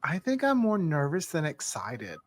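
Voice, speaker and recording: A man with a fairly high voice, recorded very dry without room sound. He banters casually as a podcast co-host, joking at his own expense. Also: wildly swooping pitch, normal speed, dry recording.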